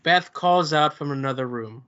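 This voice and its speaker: A man's voice: on the high side, moderate pace, fairly bright tone. A man reading stage directions as scripted narration at a table read. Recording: dull lo-fi sound.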